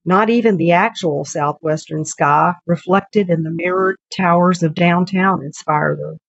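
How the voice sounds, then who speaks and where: Low-voiced woman reading a story, slightly dull audio. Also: a little slow, creaky, dry recording. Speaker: writer reading own short story. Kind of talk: scripted literary narration. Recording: dull lo-fi audio, background noise.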